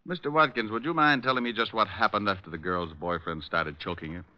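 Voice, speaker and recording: A man's voice: lowish voice, brisk, close to an everyday voice. A man playing a shrewd, wisecracking tough private detective in a 1940s style radio drama. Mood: brisk, probing. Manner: sardonic, wisecracking. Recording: muffled vintage radio recording.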